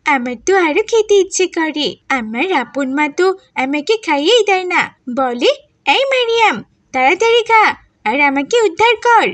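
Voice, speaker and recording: Woman acting as little cartoon playmate, high girlish voice, friendly, polite, dry recording. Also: sing-song, normal speed, a bit bright, dry recording, full character voice. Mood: friendly, chatty. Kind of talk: animated moral story voice acting.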